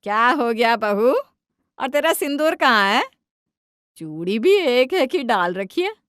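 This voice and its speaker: A woman's voice: fairly high pitch, sing-song, unhurried, fairly bright tone, no echo, clean studio sound. A woman voicing a taunting, emphatic older mother-in-law who scolds and defends her son in a domestic cartoon story. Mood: scolding, taunting.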